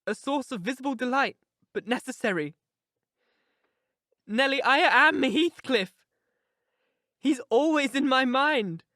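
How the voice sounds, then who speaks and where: A woman's voice: high voice, measured pace, long pauses, sharp tone, no echo, clean studio sound, pitched above the performer's own voice. A woman voicing an impetuous, headstrong young woman who speaks with intense, emphatic passion in an audiobook reading. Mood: impassioned.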